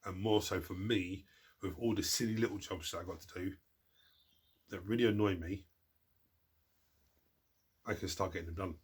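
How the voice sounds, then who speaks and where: A man's voice: deep voice, fairly even intonation, moderate pace, pauses often, slightly rough. A man hosting a hobby channel, chatting casually through viewer questions, stuffed up from a cold and rambling as he restarts his sentences. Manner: rambling, self-interrupting, restarts words. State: congested from a cold. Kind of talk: casual chat.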